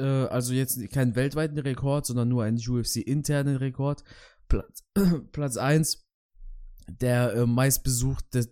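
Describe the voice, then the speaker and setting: A man's voice: medium pitch, dark tone, clear, resonant tone. Hesitant German man on a sports podcast. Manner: hesitant, many fillers and restarts. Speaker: podcast co-host. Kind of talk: casual sports podcast chat.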